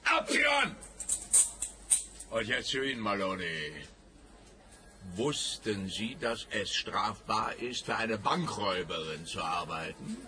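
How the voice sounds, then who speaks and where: An actor playing a blustering, domineering police interrogator in a radio crime comedy, barking or turning mock-gentle. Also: male voice, fairly low pitch, sing-song, a little hiss or hum, full character voice. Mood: irritated, barking. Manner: barking, switching to slow mock-gentle sing-song.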